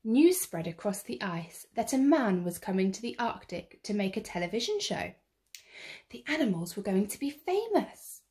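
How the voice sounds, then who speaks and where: A woman reading a children's picture book aloud in an expressive, theatrical way, drawing out the action words. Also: medium pitch, normal speed. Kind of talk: expressive read-aloud storytelling.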